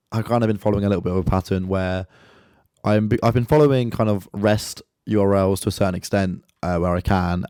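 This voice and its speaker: A man with a croaky voice from a sore throat, casually walking through his code in a screen-share, loose and conversational with lots of filler words. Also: deep voice, darkish tone, no room sound, studio quality.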